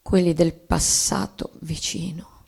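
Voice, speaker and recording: An actress playing a weary, melancholy wife and mother in a theatrical radio play reading, pensive and sinking into breathy murmurs. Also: on the low side, fairly even intonation, slow, a little mellow, heavily acted. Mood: pensive, anguished.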